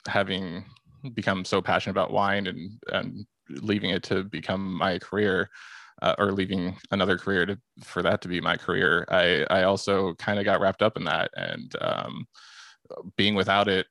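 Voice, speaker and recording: Low, gravelly male guest with a halting, casual delivery. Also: a bit bright, no room sound. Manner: hesitant, repeats words. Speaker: assistant winemaker, podcast guest. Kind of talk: casual group conversation.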